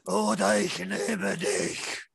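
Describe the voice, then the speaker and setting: A man voicing a menacing ancient unseen entity that gives drawn-out commands in a tabletop roleplay. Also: high voice, level tone, creaky, a bit bright, slightly rough, heavily acted. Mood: menacing, commanding.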